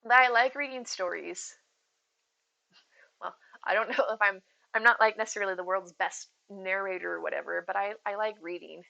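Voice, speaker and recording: A woman with a soothing, bright voice chats to her viewers from a home streaming setup. She rambles loosely, giggles easily and calls people in her chat by name. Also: medium pitch, moderate pace, creaky, low-quality recording. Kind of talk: casual live-stream chat.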